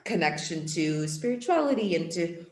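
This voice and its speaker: A woman's voice: lowish voice, expressive, moderate pace, a little mellow, roomy sound. A young woman guest, an empowerment coach, talking casually and earnestly in a podcast interview, full of reflective filler words. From born in Portugal, raised in Ethiopia. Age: young adult.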